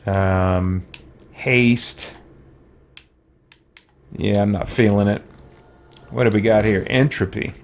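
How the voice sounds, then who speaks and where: A man's voice: fairly low pitch, steady tone, takes it slowly, pauses often. Man thinking aloud through a video game. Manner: mutters to himself, reading game text aloud between musings. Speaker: let's play host. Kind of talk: casual gaming commentary, thinking aloud.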